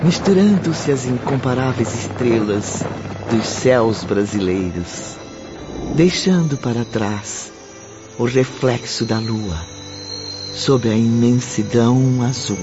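A man with a Brazilian accent giving solemn scripted radio drama narration in measured, dramatic phrases. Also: medium pitch, expressive. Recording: dull lo-fi audio, music behind.

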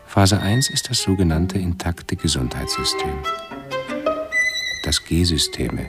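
A slow, very deep, anxious hypochondriac. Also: male voice, sing-song, loud music behind the voice, exaggerated voice. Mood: pedantic, detached. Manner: pedantic, halting, muttering. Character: anxious, suffering hypochondriac man with a scholarly obsession. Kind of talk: 1970s German radio drama acting.

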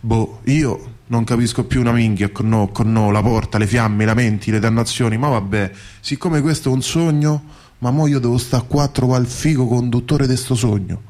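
A man's voice: medium pitch, normal speed, darkish tone, close to an everyday voice. A humble bricklayer played by a male actor tells his dream in a radio play. He speaks in salty, colloquial phrases, repeating words with earthy wonder. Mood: gruff, puzzled. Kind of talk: theatrical radio monologue, colloquial storytelling.

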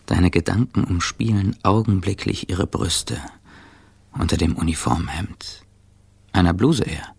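Gravelly, fatalistic narrator. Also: male voice, low voice, unhurried, a little mellow, no echo, full character voice. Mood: ominous, wry. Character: wry, fatalistic male narrator of a battered, anxious traveller. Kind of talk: second-person radio play narration. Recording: faint background ambience noise.

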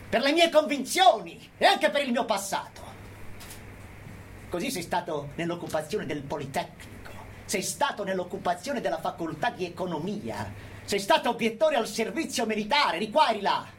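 High-pitched defiant anarchist militant, acted by a man. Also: a bit bright, a little hiss or hum. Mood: accusing, heated. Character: defiant, unrepentant male anarchist militant addressing his judges. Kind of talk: theatrical political monologue in radio theatre.

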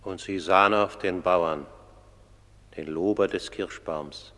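A man's voice: sits low, takes it slowly, pushed and bright, exaggerated voice. A man narrating a radio drama about a tribunal of the dead, grave and solemn. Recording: long hollow reverb.

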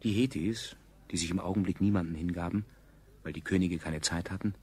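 An actor playing a dry, ironic storyteller narrating a science-fiction radio play in a husky voice. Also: male voice, sits low, a little fast, a little mellow.

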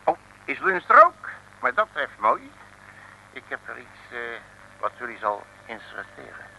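An actor playing a blustering, streetwise detective with a Dutch accent in an old comic radio serial, exasperated and full of slangy interjections. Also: male voice, medium pitch, huge pitch swings, measured pace, gravelly, sharp tone, exaggerated voice. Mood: pushy, persuasive. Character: blustering, streetwise Dutch male private detective, excitable and indignant. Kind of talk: 1950s-60s comic radio serial acting. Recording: noisy vintage radio recording.

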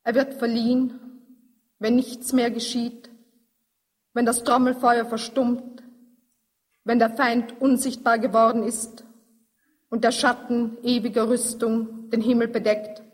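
A woman giving a grave, measured literary recitation, solemn and deliberate. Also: a little high, level tone. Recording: long reverberant hall-like echo.

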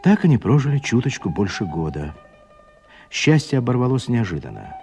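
A man narrating a literary radio drama as a measured, expressive storyteller. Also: medium pitch, faint music behind.

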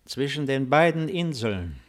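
An actor playing a weary, melancholy old Roman emperor in a solemn, measured 1950s radio drama style. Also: male voice, mid-range voice, very animated. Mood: brooding. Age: older.

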